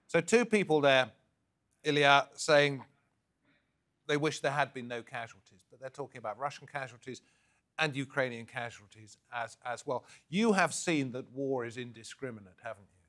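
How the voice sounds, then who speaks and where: Brisk male news presenter. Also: mid-range voice, fairly bright tone. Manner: brisk, questioning, steers the guests. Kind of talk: television news discussion.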